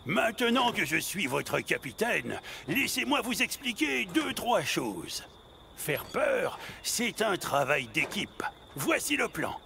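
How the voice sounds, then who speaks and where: A man's voice: high-pitched, sing-song, slow, gravelly, bright, forceful tone, a little hiss or hum, heavily acted. A man voicing a bossy, boastful pirate truck captain in a French children's cartoon, theatrical and greedy. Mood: bossy, scheming.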